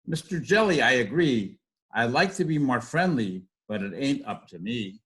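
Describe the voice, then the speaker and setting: A man's voice: mid-range voice, expressive, moderate pace, fairly bright tone, lightly acted. A man voicing a gloomy, pouting fish from a picture book, in a mournful, resigned sing-song. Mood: glum. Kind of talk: read-aloud picture book.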